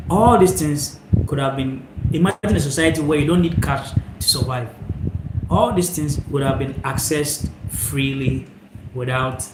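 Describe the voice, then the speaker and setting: A young man from Ghana hosting a live stream, talking reflectively to viewers into a phone held sideways, rambling with fillers. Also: fairly high pitch. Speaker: channel host. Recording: echoey room, faint noise.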